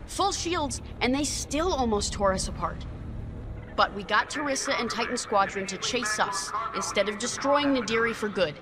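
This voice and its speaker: A woman's voice: a little high, lively intonation, moderate pace, over loud music, natural voice. A woman acting an earnest, worried rebel starfighter pilot in a video game, speaking in a candid, serious way. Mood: uneasy, resolute. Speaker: fighter pilot.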